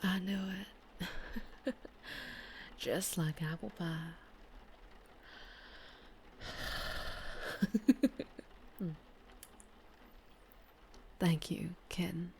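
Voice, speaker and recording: A slow-talking, low-voiced vampire biker with a Southern US drawl , played by a woman. She is flirtatious and protective, speaking softly and intimately in an ASMR roleplay, often sinking toward a whisper. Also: steady tone, a little hiss or hum, exaggerated voice. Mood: soothing, flirty. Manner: soft, intimate, often dropping into breathy whispers.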